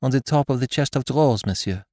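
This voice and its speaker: A man's voice: mid-range voice, fast talker, dark tone, no room sound, studio quality, pitched above the performer's own voice, natural voice. A man voicing a frightened young lady's maid, speaking reluctantly. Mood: pleading. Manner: pleading, reluctant.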